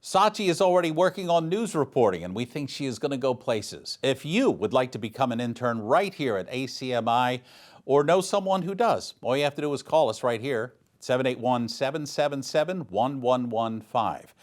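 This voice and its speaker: A man's voice: medium pitch, expressive, normal speed. A man presenting scripted local community news as an anchor.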